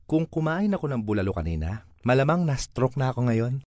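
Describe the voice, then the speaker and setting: Filipino man, comic monologue reader, theatrical, exasperated, extremely animated pitch, dry studio-like sound. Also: a little fast, dry recording. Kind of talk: dramatic comic monologue reading.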